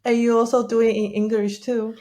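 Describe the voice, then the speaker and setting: A woman's voice: on the high side, little pitch movement, brisk, warm tone. A woman co-hosting a podcast, asking questions and chatting in a conversational style. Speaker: podcast co-host interviewing. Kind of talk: conversational interviewing.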